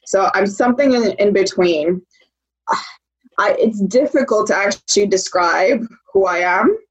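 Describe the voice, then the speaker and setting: A woman's voice: mid-range voice, measured pace, muffled recording. A young woman from Poland in a casual conversation, thinking aloud and often saying "like". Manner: reflective, uses "like" often.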